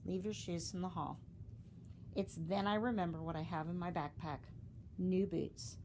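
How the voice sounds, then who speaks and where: A woman reading a children's storybook aloud, expressively, with dramatic emphasis and deliberate pauses. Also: on the low side, steady tone, a little mellow, a little hiss or hum. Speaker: storybook reader.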